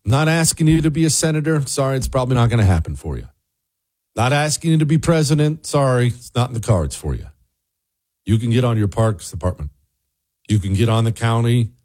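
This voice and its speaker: A man's voice: medium pitch, expressive, a little fast, a little mellow, no echo. Blunt American male talk host, dry studio sound. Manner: emphatic, rhetorical questions, blunt sarcasm. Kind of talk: opinionated political monologue. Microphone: close studio microphone.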